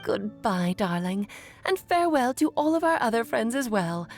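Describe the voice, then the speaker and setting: A woman's voice: fairly high pitch, big pitch swings, normal speed. An actress playing a breezy, gracious mother in a fantasy game, genteel and effusive, with music behind her. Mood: breezy farewell. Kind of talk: animated game voice acting.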